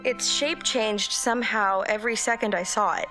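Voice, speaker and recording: A woman's voice: medium pitch, moderate pace, pushed and bright, faint music behind, natural voice. An actress playing a wry, plucky woman in an audio drama, sardonic and questioning. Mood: uneasy.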